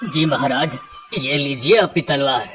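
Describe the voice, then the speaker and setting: A man acts out a humble village blacksmith from a cartoon moral tale. He is earnest, anxious and exclamatory, speaking slowly with loud music behind him. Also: mid-range voice, close to an everyday voice. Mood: respectful.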